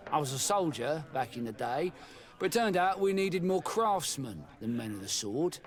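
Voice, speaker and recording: A man acting a hearty tavern keeper in a video game, an old soldier turned publican. His voice sits a little high and swings freely as he talks. He is folksy, chatty and a touch sly. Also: lively intonation, normal speed, lightly acted. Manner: folksy, colloquial.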